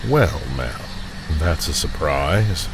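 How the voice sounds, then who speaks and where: A man acts out an older male scientist, a genteel predator living in seclusion. His deep voice moves with lively swings, softly and politely measured. It is a horror audio drama performance with clear stormy noise underneath. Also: full character voice. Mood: feigned welcome. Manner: soft-spoken, polite, deliberate.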